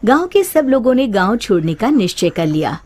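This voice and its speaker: A woman's voice: on the high side, sing-song, moderate pace, a little mellow. A woman narrating a scripted cartoon story as its storyteller. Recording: noticeable background noise.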